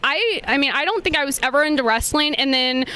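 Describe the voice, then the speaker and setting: A woman's voice: high-pitched, animated, fast, bright, forceful tone. A young woman chatting casually and enthusiastically as an interviewed guest at a convention. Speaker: professional cosplayer being interviewed. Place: convention venue. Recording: on-location interview with clear background noise.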